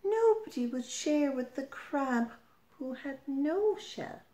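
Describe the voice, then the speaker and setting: A middle-aged woman reading a children's picture book aloud, expressive, deliberate and emphatic, in a roomy-sounding home. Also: sits high. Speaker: storyteller. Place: small furnished room at home. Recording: roomy indoor echo.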